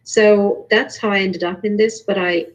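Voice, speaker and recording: A woman from Romania giving personal testimony as a guest in an online panel, earnest and halting, with restarts. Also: mid-range voice, steady tone, rough recording. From born in Romania, later moved to Germany. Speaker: invited panel guest, researcher. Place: remote online call.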